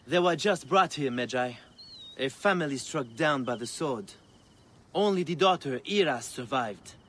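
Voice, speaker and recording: Casting: a sympathetic embalmer, even middle pitch. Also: male voice, unhurried, a little hiss or hum. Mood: sorrowful. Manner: gentle, sympathetic. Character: sorrowful middle-aged embalmer.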